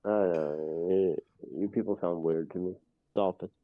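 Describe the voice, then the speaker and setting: Deep man on a tinny call. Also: fairly even intonation, normal speed, dry recording. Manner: dry, teasing jokes. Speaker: stream guest. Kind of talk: casual chat over a call. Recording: tinny phone-like call audio.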